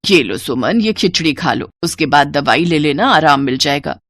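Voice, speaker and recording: Lady doing older indian mother in law, lowish voice, caring but naggy, moral story cartoon style, very dry sound. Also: lively intonation, moderate pace, fairly bright tone, dry recording. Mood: gentle advice. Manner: admonishing, explanatory. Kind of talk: dramatised Hindi moral story.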